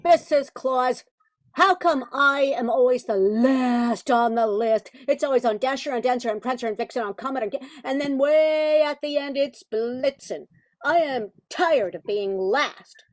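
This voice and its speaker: Woman as a high-voiced, indignant reindeer. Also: unhurried. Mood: whining. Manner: aggrieved, emphatic. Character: indignant, put-upon reindeer who complains. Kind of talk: amateur radio play acting.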